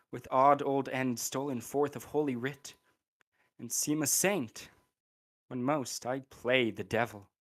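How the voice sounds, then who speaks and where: A man's voice: mid-range voice, fairly bright tone. A young male student actor playing a scheming, bitter royal brother in a Shakespearean radio play. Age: young adult.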